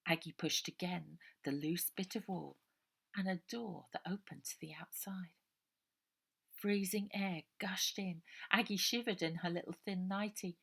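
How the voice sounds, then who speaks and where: Female narrator, slightly low voice, expressive children's story reading. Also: measured pace, creaky, breathy edge.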